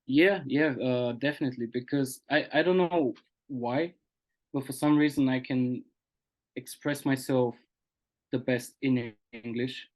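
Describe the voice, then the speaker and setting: Young male guest from Bulgaria, Bulgarian native, mid-pitched voice, reflective and earnest in interview. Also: a little slow, darkish tone, poor audio. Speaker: guest poet. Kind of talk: interview answers.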